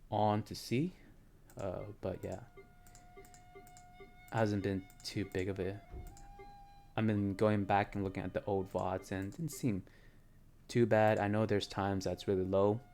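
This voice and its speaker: A man with a very low, deep voice talks casually into a desk mic at his home streaming setup, trailing off and restarting often with lots of ums and likes. Faint game music plays underneath. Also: darkish tone. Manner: rambling, hesitant, many fillers. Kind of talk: casual live-stream chat while gaming.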